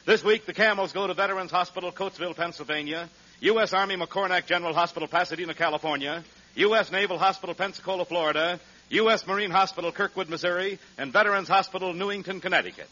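A man's voice: sits high, fast, pushed and bright. A man reading scripted announcements in the formal style of a 1940s radio announcer. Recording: dull old radio broadcast recording.

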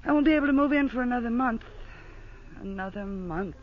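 High-voiced, fed-up young society woman. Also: animated, brisk. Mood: exasperated. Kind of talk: 1940s radio drama acting. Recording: muffled, hissy old radio broadcast.

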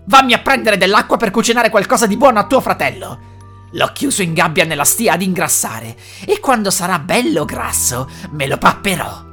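A woman's voice: medium pitch, lively intonation, normal speed, fairly bright tone, faint music behind. A woman voicing a cruel, half-blind old witch who barks harsh orders and scolds. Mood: shouting.

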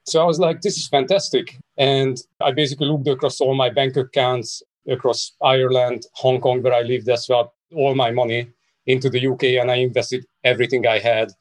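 A man in his forties with a Hungarian accent speaks as a podcast guest, telling personal stories in a conversational way. His voice is mid-pitched, and the sound is dry, with very little room on it. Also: flat delivery, fairly quick, dry recording, rough recording. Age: 40s. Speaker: podcast guest, startup co-founder. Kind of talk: conversational interview answers, personal storytelling.

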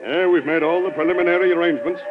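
An actor playing an eager, obsequious political organizer in an old radio drama, on a muffled vintage recording. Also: male voice, high voice, rapid-fire, heavy background noise, natural voice. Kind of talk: 1940s radio drama acting.

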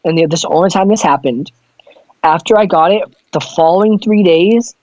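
A man's voice: sits high, moderate pace, darkish tone, no echo, dull audio. A man who sings in a touring band, chatting casually in a loose, rambling way with plenty of swearing. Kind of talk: casual chat.

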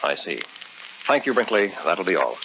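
An actor playing a wealthy, determined collector with a commanding, polished manner in an old-time radio drama. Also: male voice, low-pitched, big pitch swings, moderate pace, vocal fry, heavily acted. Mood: puzzled, brisk. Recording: muffled, noisy old radio recording.

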